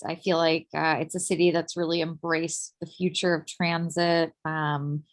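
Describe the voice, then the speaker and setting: A woman from Ukraine, a steering committee member, giving a relaxed meeting update with lots of ums and uhs. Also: sits low. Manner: relaxed, many uh and um fillers. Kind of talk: casual online meeting update.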